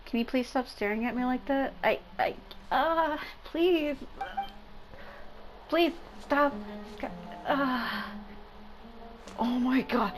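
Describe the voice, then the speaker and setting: Expressive sweary woman gamer over music. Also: sits high, slow pace, pauses often. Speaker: gaming streamer. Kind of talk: casual gameplay commentary. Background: game music.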